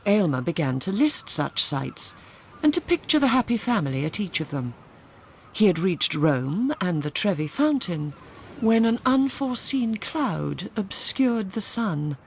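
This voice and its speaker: An Englishwoman narrating a radio drama in a dry, measured, literary storytelling manner. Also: mid-range voice, very animated, slight background noise.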